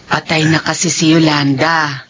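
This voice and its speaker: An actress playing a gentle elderly mother and grandmother in a radio drama, with a Filipino accent. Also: low-pitched, level tone, moderate pace, a little hiss or hum. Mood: solemn. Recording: dull lo-fi radio drama broadcast.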